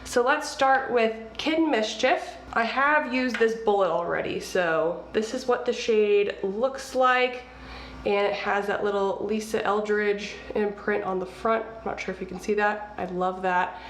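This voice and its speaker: A woman's voice: medium pitch, measured pace, slight background noise. A young woman with a stuffed-up nose from a cold, chatting casually as she reviews makeup. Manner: chatty, gushing, many fillers. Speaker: beauty vlogger. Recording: echoey room.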